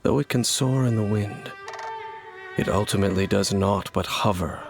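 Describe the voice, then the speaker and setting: A man playing a brooding, mysterious wanderer in a video game, speaking in a grave, measured, formal way. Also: lowish voice, creaky. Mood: solemn, watchful. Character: brooding, mysterious male wanderer opposed to dark forces. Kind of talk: dramatic video game dialogue. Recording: game music behind.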